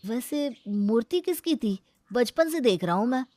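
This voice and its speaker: A woman's voice: a little high, expressive, moderate pace, dark tone, no room sound, natural voice. A woman voicing an easygoing, apologetic young husband and son in a comic family story, with a mild, hesitant manner. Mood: apologetic, puzzled.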